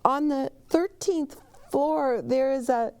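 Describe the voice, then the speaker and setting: A woman's voice: sits high, takes it slowly, vocal fry, a little mellow, no room sound, pitched above the performer's own voice, full character voice. A woman voicing a nervous, apologetic hotel bellhop in a children's storybook, hesitant and stammering. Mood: anxious warning. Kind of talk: children's storybook read-aloud.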